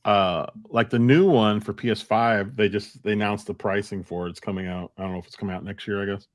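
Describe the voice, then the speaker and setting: A man's voice: fairly low pitch, steady tone, fast, no echo, studio quality. A man co-hosting a casual live-stream chat, speaking conversationally and relaying comments.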